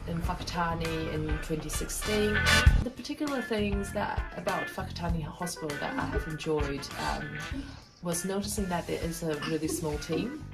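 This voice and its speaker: A Taiwanese-New Zealander woman, a hospital registrar, introducing herself in a measured way with many fillers. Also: sits low, poor audio. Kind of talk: promo self-introduction. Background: loud guitar music.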